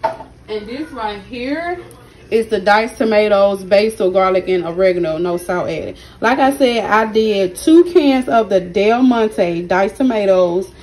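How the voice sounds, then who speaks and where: A woman with a hoarse, partly lost voice, chatting casually to her viewers as she cooks in her home kitchen, recording on a handheld phone. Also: medium pitch, expressive, unhurried, darkish tone. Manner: chatty, keeps addressing viewers directly. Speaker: cooking channel host. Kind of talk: casual cooking vlog narration. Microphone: phone held in hand while cooking.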